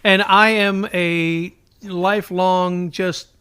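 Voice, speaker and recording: Male podcast co-host, high-pitched voice, enthusiastic fan chat, slangy and self-deprecating. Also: fairly even intonation, takes it slowly, bright, forceful tone, smooth. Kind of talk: casual chat about superhero shows.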